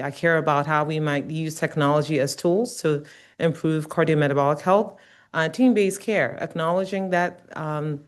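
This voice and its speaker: A middle-aged woman from Ghana delivering a lecture. Her voice sits low and comes through clean and resonant. She talks earnestly, often pausing on "um" and "uh" as she goes. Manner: warm, earnest, with frequent um and uh fillers. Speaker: guest lecturer, nursing researcher. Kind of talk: academic lecture.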